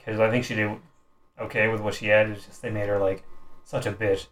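A man's voice: on the low side, little pitch movement, fairly quick, a bit bright, a little hiss or hum. A man giving opinions in an informal, conversational way as a panelist. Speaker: podcast panelist. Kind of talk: casual chat.

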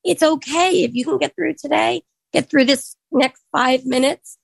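Woman, 30s, hoarse and gravelly, dry close sound, earnest personal talk with lots of ums. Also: sits high, no room sound. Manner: earnest, reflective, pauses with frequent ums. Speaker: speaker sharing her story.

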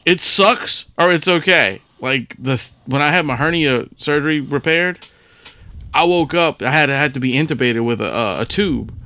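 A man's voice: on the high side, normal speed, sharp tone, no echo. A man chatting casually as a podcast co-host, curious and often repeating his words. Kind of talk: casual chat.

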